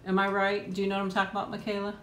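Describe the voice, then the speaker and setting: A woman's voice: completely flat delivery, racing, a bit bright. Chatty, laughing woman in an echoey room. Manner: chatty, rambling, laughs often, frequent ums. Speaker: host of a live chat and eating stream. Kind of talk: casual live chat with viewers. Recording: echoey room with faint rumble.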